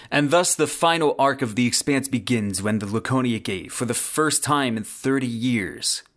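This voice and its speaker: A man's voice: medium pitch, a bit bright. A man hosting a solo podcast, giving scripted commentary in a dramatic, emphatic storytelling manner. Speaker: podcast host.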